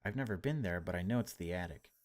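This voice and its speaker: A man with a deep, low voice narrates a horror game aloud. He reads it like a storyteller, theatrical and often hushed, as a let's-play host would. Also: expressive, fast talker. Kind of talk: dramatic read-aloud narration of a horror visual novel.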